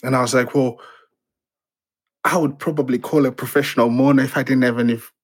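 A man from Zimbabwe, a playwright being interviewed, talking reflectively and haltingly with many restarts and fillers. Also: mid-range voice, steady tone. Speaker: playwright guest.

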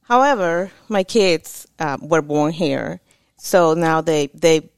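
A woman's voice: low-pitched, lively intonation, slow pace, clean tone, no room sound. A woman from Mexico, a Spanish speaker, talking as a guest on a podcast in a chatty way with frequent fillers. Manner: chatty, laughs often, many fillers. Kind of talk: casual podcast interview.

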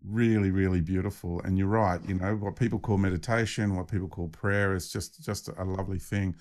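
Low-voiced man, effusive spiritual host. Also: steady tone, fairly quick, darkish tone. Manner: enthusiastic, affirming, stammers on repeated words. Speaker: podcast host. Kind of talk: spiritual podcast chat.

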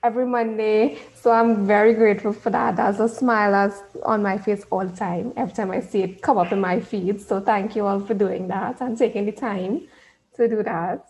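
A cheerful woman with a Trinidadian accent, chatting as a podcast guest. She laughs easily and talks in a warm, easy conversational way. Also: mid-range voice, steady tone, moderate pace, poor audio. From Trinidad and Tobago. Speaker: podcast guest, business owner. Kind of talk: casual interview chat.

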